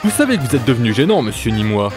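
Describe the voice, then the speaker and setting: An actor playing a suave, menacing villain in a French audio drama, speaking in a formal, theatrical way. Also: male voice, medium pitch, sing-song, very fast, over music, natural voice. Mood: suave menace. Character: suave, menacing male villain running illegal auction.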